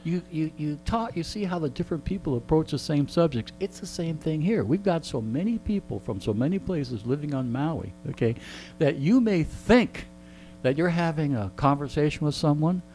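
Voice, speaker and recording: A man's voice: fairly high pitch, expressive, normal speed, mellow, poor audio. A man being interviewed in a radio studio, telling stories in a casual, joking, rambling way. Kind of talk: casual anecdotal storytelling. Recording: faint mains hum.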